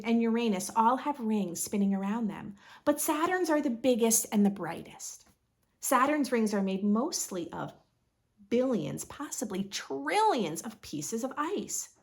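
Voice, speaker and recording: A woman reading a picture book aloud to young children in an expressive, instructive way, as a read-aloud host. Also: a little high. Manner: expressive, instructive, asks listeners questions.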